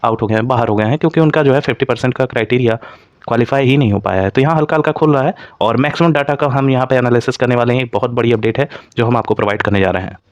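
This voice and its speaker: A man's voice: mid-range voice, very fast, no echo. A man presenting an informal exam analysis to online viewers, mixing in English terms and leaning on repeated filler phrases. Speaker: exam-prep channel presenter.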